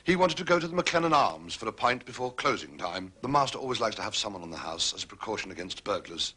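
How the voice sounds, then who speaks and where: An actor playing a deferential, unflappable butler in a period mystery drama, formal and carefully hesitant. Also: male voice, on the low side, very animated, sharp tone, slightly rough, exaggerated voice. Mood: smoothly explanatory. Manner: formal, obsequious, measured hesitations. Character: smooth, deferential male butler, unflappable and evasive.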